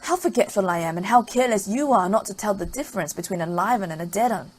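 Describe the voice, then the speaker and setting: A woman voicing the ogre's fussy wife in a chiding, quick manner in a storybook reading. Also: medium pitch, lively intonation, husky.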